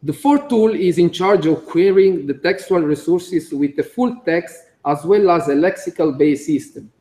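A man with a strong Italian accent, a computer engineer giving a conference talk read from notes, careful and halting with frequent fillers. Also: fairly high pitch, warm tone. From Italy. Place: conference room.

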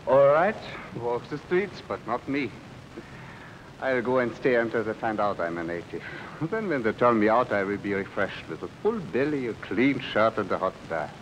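A man's voice: mid-range voice, lively intonation, normal speed, natural voice, rough recording. An actor playing a sly, cheerful middle-aged male refugee con man, wheedling and teasing in a 1950s TV drama. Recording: old TV film sound with faint rain hiss.

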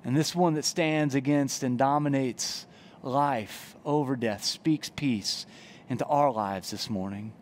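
A man's voice: mid-range voice, a little slow, a little hiss or hum. A man in his forties, a pastor, preaching an earnest sermon in a church chapel on a headset mic. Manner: earnest, gestures broadly while preaching. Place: church chapel, indoors, before brick wall.

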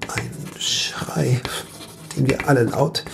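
An actor playing a horror-stricken man in a radio drama, haltingly recounting a nightmare with dread and repeated phrases. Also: mid-range voice, steady tone, gravelly, whispered. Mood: horrified.

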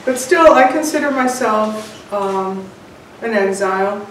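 A woman in her sixties telling her personal story in an interview, measured and reflective, with pauses. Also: medium pitch. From United States, born in Cuba. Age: 60s. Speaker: interviewed home cook and author. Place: indoors, bright home room with large windows. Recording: very echoey room, distant mic, faint noise.

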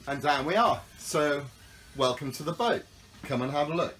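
A man's voice: on the high side, moderate pace, a bit bright, a little hiss or hum, rough recording. A man in his fifties, a sailing skipper, casually walking through a small yacht and explaining each step in a practical, friendly way, filmed on a handheld phone. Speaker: sailing school skipper giving a boat tour. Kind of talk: friendly walkthrough demonstration. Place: on and inside a small sailing yacht, outdoors then in the cabin. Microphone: handheld phone camera.

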